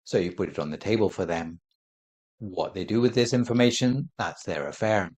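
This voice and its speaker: A Buddhist monk giving a calm dharma talk, explaining his points in a measured, gentle way. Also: deep voice. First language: not Thai.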